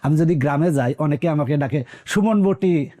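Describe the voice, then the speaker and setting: A man's voice: on the high side, normal speed, warm tone. A man in his thirties from rural Bangladesh, with a Bangladeshi accent, recounting his life story conversationally as an interview guest. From rural Bangladesh, near the Indian border. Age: 30s.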